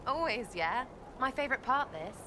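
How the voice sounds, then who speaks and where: An actress playing a blunt, irreverent young elf archer in a game cutscene, talking in a casual, clipped way. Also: female voice, a little high, lively intonation, normal speed, background noise, close to an everyday voice. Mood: cheeky.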